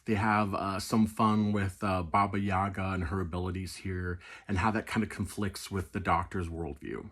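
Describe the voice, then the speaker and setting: Husky, low-voiced man reviewing. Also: level tone, normal speed, few pauses, a bit bright. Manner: enthusiastic, conversational. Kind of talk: casual video review.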